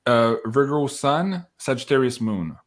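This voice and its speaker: A man hosting a live online show in an upbeat, excitable, casual way, reading out viewer questions and announcements. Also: mid-range voice, unhurried.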